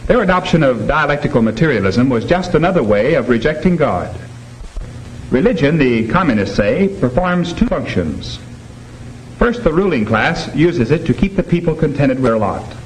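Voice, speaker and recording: A man's voice: a little high, very animated, normal speed. A man lecturing formally and emphatically as a teacher in a 1950s educational film, on a noisy old soundtrack. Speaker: teacher leading a classroom discussion.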